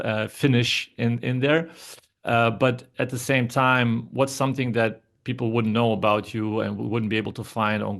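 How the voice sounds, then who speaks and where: A man in the middle range of pitch hosts a podcast interview. His questions ramble and circle back, with frequent restarts and fillers before he lands on the point. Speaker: podcast host.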